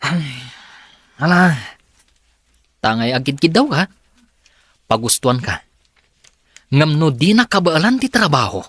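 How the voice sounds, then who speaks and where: A man's voice: fairly high pitch, big pitch swings, measured pace, long pauses, fairly bright tone, breathy edge, no echo, exaggerated voice. A man with a Filipino accent playing a sceptical, grudging colleague in a radio drama. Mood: sceptical, resigned. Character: sceptical, grudging male friend and colleague at company. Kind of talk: Ilocano radio drama acting.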